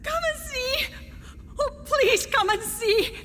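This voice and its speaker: A woman's voice: very high-pitched, takes it slowly, bright, forceful tone, long reverb tail, a little hiss or hum, exaggerated voice. A woman voicing a terrified young Scottish servant girl who sobs and cries out in panic, in an audio drama. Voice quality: crying, shrieking.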